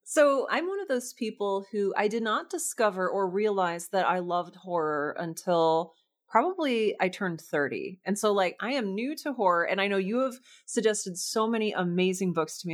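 A woman's voice: lowish voice, normal speed. A woman hosting a casual interview, effusive and enthusiastic in how she talks. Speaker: podcast host.

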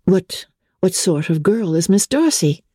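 A woman voicing a spirited, indignant young Regency gentlewoman in a dramatic audiobook reading, with emphatic, incredulous delivery. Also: on the low side, big pitch swings, measured pace, husky, no room sound, studio quality, pitched above the performer's own voice, exaggerated voice. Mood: incredulous, curious.

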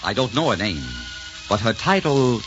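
An actor playing an ardent, defiant young French prince in a theatrical 1940s radio romance. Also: male voice, medium pitch, sing-song, moderate pace, over music, close to an everyday voice. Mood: rapturous. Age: 33 as played. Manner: theatrical, impassioned. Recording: noisy, muffled 1940s radio recording.

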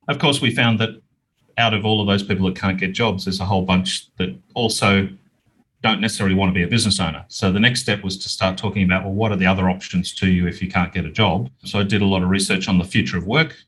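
A middle-aged Australian man explaining things in a measured, conversational way as an interview guest. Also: deep voice, steady tone, fairly bright tone, breathy edge. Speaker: interview guest, business owner.

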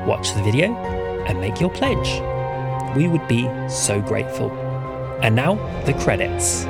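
Lively male announcer over music. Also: medium pitch. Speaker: podcast announcer reading credits. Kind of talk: scripted narration. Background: music behind the voice.

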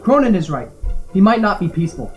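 A man acting in an amateur audio drama as a practical, protective, teasing diver, casual and brisk. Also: high-pitched, big pitch swings, highs cut off, soft background music. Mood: concerned.

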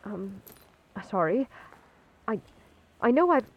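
A woman's voice: mid-range voice, big pitch swings, takes it slowly, slightly rough, dull audio, heavily acted. A woman playing a polite, easily flustered new employee in a comedic audio drama, apologetic in manner.

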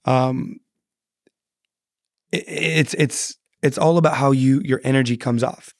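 A man's voice: medium pitch, steady tone, slow pace, dry recording, clean studio sound. A man speaking earnestly as a podcast guest, often restarting his sentences. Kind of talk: casual podcast chat.

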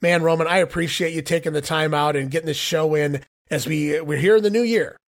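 A man hosting a podcast, recapping in casual chat with a hoarse voice. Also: a little high, a little fast. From northeastern Ohio. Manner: recapping, wraps up the show. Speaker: podcast host.

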